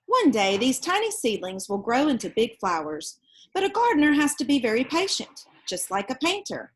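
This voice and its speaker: A kindly old man who paints and gardens, straight out of a picture book, voiced by a woman. The voice is light and rather high, gentle and welcoming in its telling. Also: sing-song, moderate pace.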